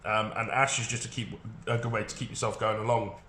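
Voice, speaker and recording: A man's voice: lowish voice, little pitch movement, brisk, pushed and bright, echoey room. A man with a head cold, sounding congested, chatting casually through a card game deck with frequent fillers.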